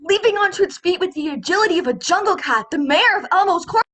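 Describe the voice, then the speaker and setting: Young woman acting flustered radio reporter, very high-pitched. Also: animated, fairly bright tone. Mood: awestruck, alarmed. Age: teens. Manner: melodramatic, halting when flustered. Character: flustered, awestruck radio reporter at an alien invasion. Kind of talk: mock radio news reporting in a student play. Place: home room over a video call. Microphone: computer microphone on video call.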